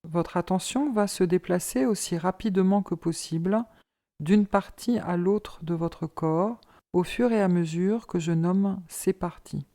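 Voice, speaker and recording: A woman leading a guided yoga relaxation as an instructor, in a calm, measured, soothing manner. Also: fairly low pitch.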